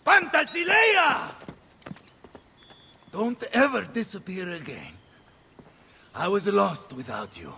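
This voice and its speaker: An actor playing a hot-blooded, theatrical Italian mercenary captain with an Italian accent, bombastic and volatile, in a video game cutscene. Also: male voice, high voice, big pitch swings, takes it slowly, vocal fry, pauses often, sharp tone, far from the mic, a little hiss or hum, exaggerated voice. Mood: tender. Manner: bombastic, swearing, emotionally volatile. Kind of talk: dramatic game cutscene acting.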